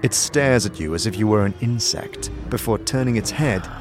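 A man's voice: lowish voice, expressive, moderate pace. A man delivering scripted second-person narration for a fantasy audio story, as narrator and game master. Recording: music under the voice.

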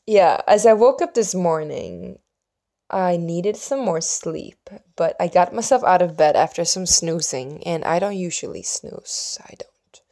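A woman from Sweden with a slightly low voice speaks English as a second language. She tells a personal story in a casual, reflective way. Her delivery meanders, with pauses and hushed asides. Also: animated. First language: Swedish. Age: adult, mother of young children. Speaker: vlogger telling a personal story.

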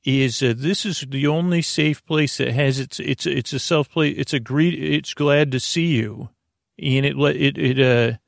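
A man with a creaky voice hosting a sleep podcast in a rambling, improvised monologue, halting and stammering as he restarts his sentences. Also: mid-range voice, no echo, studio quality. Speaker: host of a bedtime-story podcast to help listeners sleep.

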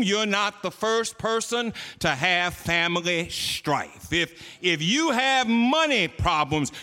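A man's voice: on the high side, sharp tone, in a large hall. A man preaching a sermon to his church, building emphasis through repeated phrases. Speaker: pastor.

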